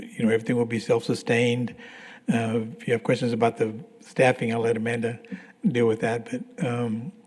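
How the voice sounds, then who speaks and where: A hoarse man walks a committee through a construction update, halting and restarting as he goes. His delivery stays flat and even. The meeting-room livestream carries a long hall reverb. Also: mid-range voice. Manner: plain, halting, many restarts and fillers. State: losing his voice, clears throat. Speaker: construction manager. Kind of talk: public meeting presentation.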